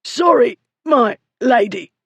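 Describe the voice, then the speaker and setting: A man voicing a brutish, foul-mouthed bully with a hoarse, choking, strained voice in an audiobook story. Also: very high-pitched, lively intonation, slow pace, a bit bright, no room sound, voice pushed up, exaggerated voice. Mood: gasping.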